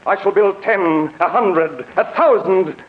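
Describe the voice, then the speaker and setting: Coldly formal mad-scientist villain, muffled radio. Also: male voice, high-pitched, a little slow. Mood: grandiose, fanatical. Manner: articulate, formal, coldly persuasive. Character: fanatical male scientist, secretly a superior humanlike robot villain. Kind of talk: 1940s radio acting. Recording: dull vintage radio recording.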